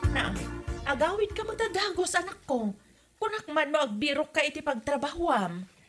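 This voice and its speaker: A woman's voice: sits high, lively intonation, normal speed, over music. A woman playing a nagging, suspicious middle-aged mother in an audio comedy drama, with an Ilocano Filipino accent and a scolding, questioning manner. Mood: prying, motherly.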